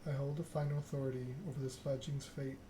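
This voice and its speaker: A man's voice: medium pitch, little pitch movement, fast, close to an everyday voice. A man reading the part of a stern, regal female vampire ruler in a formal, solemn way. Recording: clear background noise.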